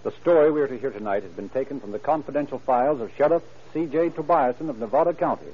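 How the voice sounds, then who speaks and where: A man's voice: medium pitch, fast talker, breathy edge, soft background music. A man announcing and narrating a scripted radio show in formal, earnest 1930s style. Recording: muffled 1930s radio broadcast.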